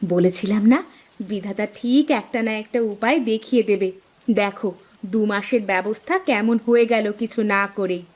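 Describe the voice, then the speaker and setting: A woman's voice: medium pitch, moderate pace, a little mellow, close to an everyday voice. A woman voicing a practical, optimistic village housewife in a cartoon, coaxing and insistent in her delivery. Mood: pleased, reassuring. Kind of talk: dramatised cartoon dialogue.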